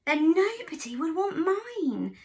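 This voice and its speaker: A woman's voice: very high-pitched, very animated, slow, a little mellow, voice pushed up, full character voice. A woman voicing a plaintive dairy cow in a storybook, mooing. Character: placid, worried dairy cow.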